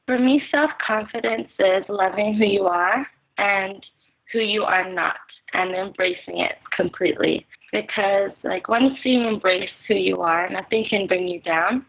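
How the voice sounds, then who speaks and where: A woman's voice: mid-range voice, measured pace, gravelly. Young woman on a tinny call line. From Philippines. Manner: earnest, reflective, often says "like" and "so, yeah". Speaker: podcast guest, clothing brand founder. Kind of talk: casual chat.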